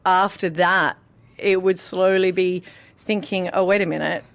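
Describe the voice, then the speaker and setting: Animated woman telling candid stories. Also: lowish voice, unhurried, vocal fry. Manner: animated, acts out remembered dialogue. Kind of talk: candid conversational storytelling.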